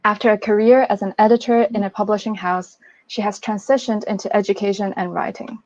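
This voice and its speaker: Female novelist-moderator, medium pitch, introducing panelists over muffled online audio. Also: steady tone, a little fast, darkish tone, dry recording. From Hong Kong. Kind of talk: semi-scripted panel introduction. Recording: dull, lo-fi online call audio.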